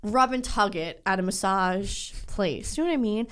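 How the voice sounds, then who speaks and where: A woman's voice: medium pitch, moderate pace, clear, resonant tone. A woman co-hosting a podcast in casual chat, crude and giggly, rambling with fillers. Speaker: podcast co-host.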